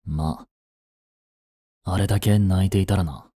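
A man's voice: deep voice, steady tone, a little slow, mellow, husky, no echo, clean studio sound. A man playing a cold, haughty young prince in a romantic audio drama, curt and imperious but secretly protective. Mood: subdued, brooding.

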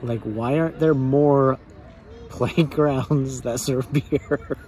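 A man's voice: medium pitch, steady tone, extremely slow, warm tone. A man in his thirties with a North American accent, chatting casually to camera as a family travel vlogger. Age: 30s. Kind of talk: casual vlog chat to camera. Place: cafe-like hostel bar and outdoor beer garden. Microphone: camera at arm's length.

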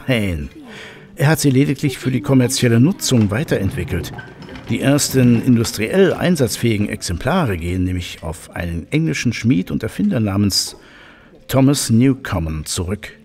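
An actor playing an enthusiastic, learned professor in an audio drama, lecturing with emphatic repetition. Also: male voice, mid-range voice, sing-song, moderate pace, a little mellow. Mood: keen, correcting. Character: enthusiastic, learned male professor of old machines. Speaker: technical expert, professor. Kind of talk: German audio drama dialogue.

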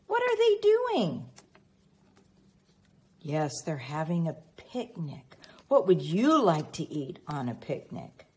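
A woman reading a picture book aloud expressively to young children, prompting them with teacherly questions and pauses. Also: sits low, darkish tone, breathy edge. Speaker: children's read-aloud reader.

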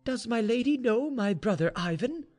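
Man as a high-voiced, shrewd young maidservant. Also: animated, moderate pace, darkish tone, soft background music. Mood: shrewd, insistent. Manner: insistent, reasoning persuasion. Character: shrewd, urgent young Russian maidservant counselling her mistress. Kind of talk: storybook dialogue reading.

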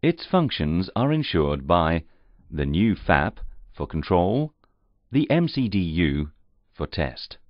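A man reading scripted instructional narration in a formal, measured, carefully enunciated way. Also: low voice, big pitch swings, no echo. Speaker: training narrator. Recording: slightly muffled, dull audio.

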